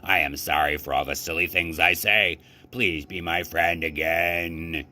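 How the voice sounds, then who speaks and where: A man's voice: deep voice, steady tone, measured pace, sharp tone, a little hiss or hum, exaggerated voice. A man voicing an anxious, devoted toad from a children's storybook in an earnest, plaintive way. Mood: plaintive, sad.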